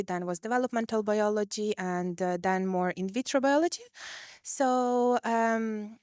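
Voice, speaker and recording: A woman's voice: a little high, smooth, dry recording, clean studio sound. A young woman, a PhD student, answering panel questions on a video call, thoughtful and earnest with many fillers. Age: young adult.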